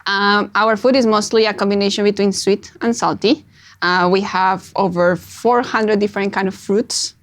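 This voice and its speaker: Colombian woman, guest, calm, measured explainer. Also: medium pitch. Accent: Colombian Spanish. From Colombia, Bogotá. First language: Spanish. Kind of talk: casual interview chat.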